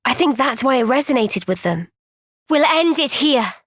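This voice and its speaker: A woman playing an earnest, thoughtful young soldier in a fantasy video game cutscene, speaking in a gentle, measured, reflective way. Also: sits high, lively intonation, dry recording, studio quality.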